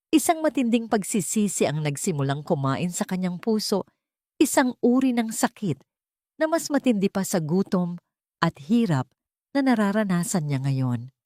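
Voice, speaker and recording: A woman narrates a dramatic scripted story in measured, emotive phrases. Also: lowish voice, dark tone, dry recording, clean studio sound.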